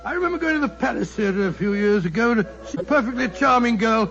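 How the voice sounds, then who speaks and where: An actor playing a genial, easily charmed English gentleman sidekick, chatty and a little bumbling, with a British accent, in an old-time radio drama. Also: very high-pitched, expressive, fast talker. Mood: wistfully chatty. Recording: muffled vintage radio recording.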